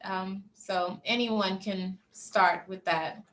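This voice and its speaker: Slow-speaking woman host. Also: mid-range voice, fairly even intonation, fairly bright tone. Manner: measured, hesitant fillers. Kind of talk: hosting a discussion panel.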